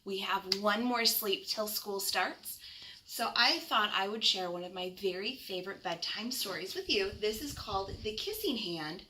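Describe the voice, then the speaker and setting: A woman reading a children's storybook expressively, like a school educator talking to young kids with deliberate emphasis. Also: medium pitch, lively intonation, pushed and bright, a little hiss or hum, low-quality recording.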